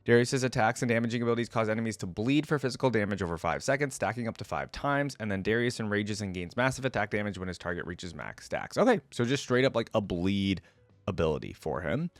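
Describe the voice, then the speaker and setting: Low-voiced man, dry close recording. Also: animated, fast talker, no echo. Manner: conversational, informal gamer speech. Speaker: reaction video host. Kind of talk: casual commentary and reading aloud.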